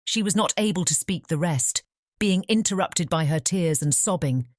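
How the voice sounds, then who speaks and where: A woman with a British English accent narrating a fairy tale audiobook in a measured storytelling manner. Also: fairly low pitch, lively intonation, no room sound, studio quality.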